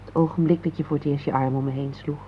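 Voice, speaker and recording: A woman's voice: low voice, steady tone, quick pace, natural voice. An actress playing a wistful married woman in a Dutch radio play, reflective and searching for her words. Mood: melancholy, searching. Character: wistful married woman longing for lost romance. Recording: dull, noisy old radio recording.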